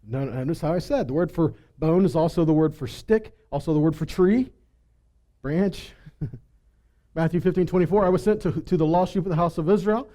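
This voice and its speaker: A man delivers a teaching sermon in a high-pitched voice with a soft, mellow tone. He moves between reading scripture and explaining it, often posing rhetorical questions and checking in with his listeners. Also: brisk. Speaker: Bible teacher.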